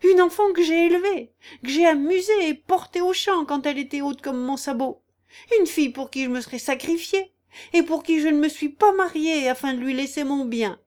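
A woman voicing a self-pitying, shrewish peasant aunt in a story, loud, indignant and wailing. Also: high voice, lively intonation, unhurried, voice pushed up. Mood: shrill mock despair.

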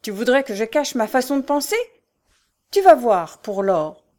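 A woman's voice: high-pitched, very animated, moderate pace, pitched above the performer's own voice. A woman voicing a vicious old beggar woman who bullies her son, shrieking and coarse. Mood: furious. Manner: screeching, coarse, snarling.

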